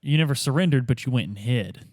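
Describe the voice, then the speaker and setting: A man's voice: mid-range voice, lively intonation, fast talker, studio quality, close to an everyday voice. A man playing a casual, probing investigator in improvised roleplay, informally pressing with questions and echoing answers back. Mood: challenging.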